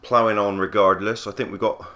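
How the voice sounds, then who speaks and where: A man with a cold, husky and croaky, giving easygoing play-by-play commentary on a cricket video game. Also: lowish voice, fairly even intonation, a little fast, bright, forceful tone. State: hoarse from a cold. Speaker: gaming channel host.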